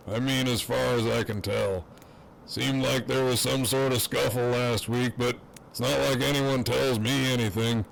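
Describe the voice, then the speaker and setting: A man's voice: mid-range voice, creaky, a bit bright, slight background noise, rough recording. A man playing a cocky, crude prisoner who teases in wry, swaggering banter in an audio drama. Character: cocky, crude, teasing imprisoned man on a walkie-talkie. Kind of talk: fan audio drama acting.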